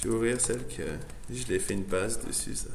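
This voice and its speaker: A man, a non-native French speaker, giving a loose, chatty software demo full of fillers and restarts. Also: medium pitch, fairly even intonation, a little fast. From immigrant to Canada. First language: not French. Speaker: presenter showing his editor setup. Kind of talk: casual live software demo. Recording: echoey room, faint noise, keyboard typing.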